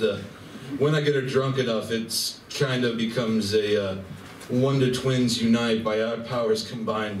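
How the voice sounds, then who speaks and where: A man's voice: mid-range voice, lightly acted. Man acting a brash, swaggering party animal, echoey room. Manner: sarcastic, swaggering. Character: brash, hard-drinking, teasing male space-crew party animal. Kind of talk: live amateur radio play acting. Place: large indoor room at a convention. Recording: echoey, noisy convention room from far off.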